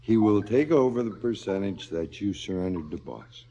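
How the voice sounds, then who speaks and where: An actor playing a drunken, sardonic crooked cop in a theatrical radio drama, with a halting drawl. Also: male voice, on the low side, expressive, dark tone, highs cut off, slight background noise. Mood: sardonic, ominous. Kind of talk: 1970s radio drama acting.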